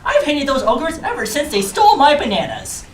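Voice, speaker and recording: A woman voicing an excitable, rude monkey in a live storytelling show, whooping and blurting. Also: high-pitched, normal speed, pitched above the performer's own voice. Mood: excited, eager. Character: excitable rude monkey eager to fight ogres. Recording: echoey room, distant mic, noisy.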